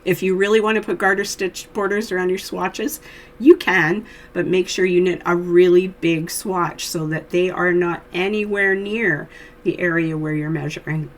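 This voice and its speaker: A woman with a hoarse voice from a cold, teaching knitting in a chatty, friendly how-to tutorial, with little laughs. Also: fairly low pitch, fairly even intonation, moderate pace.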